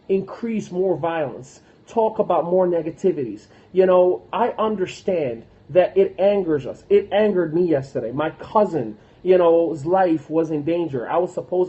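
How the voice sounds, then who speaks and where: A man's voice: a little high, dark tone, dull audio. A young man from Morocco, a motivational speaker, talking earnestly on a livestream with many fillers and restarts. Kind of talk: casual livestream talk.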